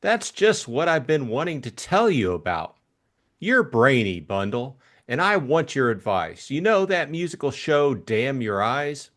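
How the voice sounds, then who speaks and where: A man performs an upper-class young Englishman from a classic mystery audiobook, simple-hearted and eager to please. He gushes and rambles in breezy slang, with very lively swings in pitch. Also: a little slow. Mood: gushing. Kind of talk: audiobook character acting.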